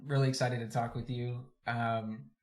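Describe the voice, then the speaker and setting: A man's voice: medium pitch, little pitch movement, normal speed. A man hosting a podcast and interviewing a guest, friendly and a little hesitant, often saying "um".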